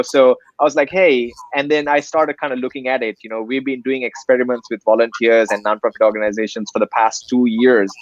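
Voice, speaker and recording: A man's voice: medium pitch, dry recording, dull audio. A young man from Sri Lanka, a nonprofit director, earnestly explaining his project in an interview with many fillers. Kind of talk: interview answers.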